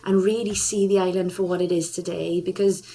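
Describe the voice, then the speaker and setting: A woman's voice: fairly low pitch, level tone, brisk, warm tone. A young woman from South Wales, a singer and charity ambassador telling a heartfelt story as a radio interview guest, earnest and full of restarts.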